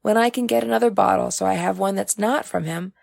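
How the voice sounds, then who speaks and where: Lady reading as a guarded young woman in an audiobook, dry and wry, gravelly, close dry sound. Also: medium pitch, fast talker, darkish tone, dry recording. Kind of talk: audiobook character dialogue.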